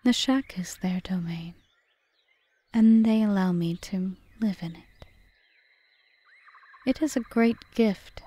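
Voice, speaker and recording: A woman playing a calm, calculating forest witch in an audio roleplay, speaking in a measured, formal, often whispery way. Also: mid-range voice. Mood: solemn, wistful. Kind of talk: ASMR roleplay monologue.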